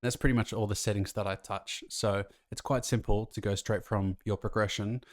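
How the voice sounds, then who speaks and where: A man's voice: fairly low pitch, steady tone, normal speed. A man casually explaining music production as a tutor, talking through each step of a tutorial. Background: faint music from the track.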